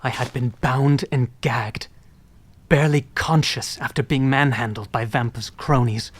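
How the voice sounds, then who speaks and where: A man's voice: mid-range voice, steady tone, a little slow, slight background noise, natural voice. An actor playing a young viscount who tells his own adventure in an audio drama, with a wry storyteller's flourish. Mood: dramatic. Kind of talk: dramatic first-person narration. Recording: loud music behind.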